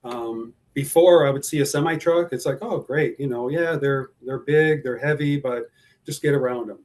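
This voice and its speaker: A man's voice: medium pitch, moderate pace, darkish tone. Hesitant man describing his fears. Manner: hesitant, many fillers and restarts. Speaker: guest describing his driving anxiety. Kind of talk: personal account in an interview.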